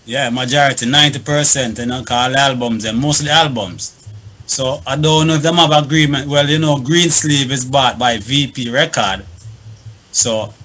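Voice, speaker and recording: A man's voice: mid-range voice, normal speed, sharp tone. A man with a Jamaican accent talking as a panelist on a talk show. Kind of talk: panel discussion.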